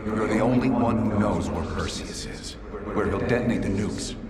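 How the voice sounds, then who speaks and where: A man's voice: fairly low pitch, normal speed, heavy background noise, natural voice. An actor playing a hard, commanding male interrogator in a cinematic video game, speaking tersely and insistently. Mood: pressing, impatient. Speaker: intelligence operative running an interrogation.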